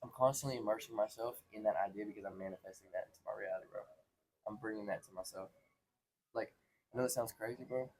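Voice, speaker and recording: A man's voice: on the low side, fairly even intonation, fast talker, darkish tone, poor audio. A man in his twenties telling personal stories in casual, slangy talk, earnest and repeating phrases for emphasis. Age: 20s. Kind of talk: casual conversational storytelling.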